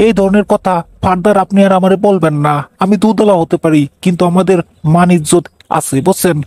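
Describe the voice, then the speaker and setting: A man acts a meek but indignant cartoon husband with a Bangladeshi accent. His voice is quite high and dryly recorded, and he protests earnestly about his honour. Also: steady tone, fairly quick, darkish tone, dry recording, natural voice. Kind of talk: cartoon dialogue.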